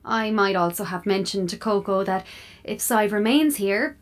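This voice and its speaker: A woman's voice: medium pitch, moderate pace, natural voice. A woman playing an opinionated, scheming female smart fridge in an audio drama, sly and self-assured. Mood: sly, self-satisfied.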